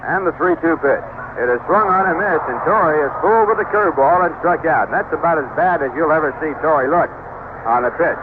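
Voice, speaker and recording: A man's voice: a little high, a little fast. A man calling live baseball play-by-play as an announcer on a 1970s radio broadcast. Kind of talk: live radio sports commentary. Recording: noisy narrow-band 1970s AM radio broadcast.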